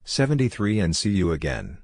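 A man's voice: low voice, huge pitch swings, a little fast, a little mellow, a little hiss or hum. A man narrating a practice drill, reading short scripted phrases plainly, one at a time.

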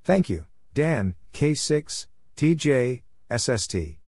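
A man reading scripted radio-practice exchanges in short, deliberate, clearly separated phrases. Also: medium pitch, big pitch swings, darkish tone, dry recording, clean studio sound. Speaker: Morse code practice narrator.

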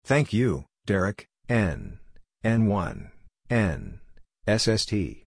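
A man narrating a practice lesson, reading call signs and short phrases in a clipped, deliberate way. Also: on the low side, lively intonation. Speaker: Morse code practice narrator.

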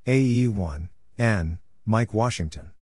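Male narrator, low-pitched and very slow, for measured instructional readouts delivered item by item. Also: a little mellow, no echo, clean studio sound. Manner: measured, spelling out letters and numbers one at a time. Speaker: narrator of Morse code practice. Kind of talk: scripted readout of callsigns and exchanges.

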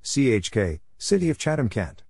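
A man's voice: medium pitch, very animated, moderate pace, gravelly, background noise. A man giving a scripted instructional read-out, spelling letter by letter and speaking in short, isolated phrases. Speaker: Morse code practice instructor. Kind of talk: scripted read-out of spelled codes and place names.

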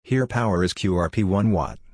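A man reading short scripted practice phrases one at a time in a measured, instructional manner. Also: sits low, a little mellow, no echo. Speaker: Morse code practice instructor. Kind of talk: scripted phrase drill, read aloud.

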